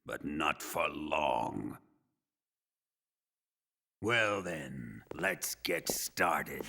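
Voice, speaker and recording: Casting: menacing game villain, a gravelly Soviet interrogator, slow and taunting, wildly swinging delivery. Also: male voice, rumbling low, very animated, full character voice. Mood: quiet, gloating. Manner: theatrical, taunting, swinging from hushed purr to bellowing. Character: sadistic, menacing Soviet military interrogator, middle-aged man. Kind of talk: dramatic video game acting.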